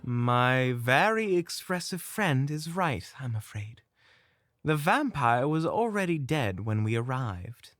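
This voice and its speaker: A man's voice: medium pitch, very animated, slow, fairly bright tone, no room sound, soft background music. A man playing a polite, dignified and weary leader of adventurers, speaking formally in a fantasy audio drama. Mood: apologetic, courteous. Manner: formal, courteous, restrained. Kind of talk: fantasy audio roleplay acting.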